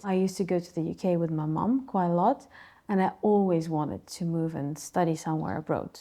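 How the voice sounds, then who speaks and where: A woman's voice: fairly low pitch, darkish tone. A woman in her thirties from Ukraine, a native Russian speaker, talking through her story as a school co-founder in an interview, chatty with frequent asides. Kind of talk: interview answers to camera.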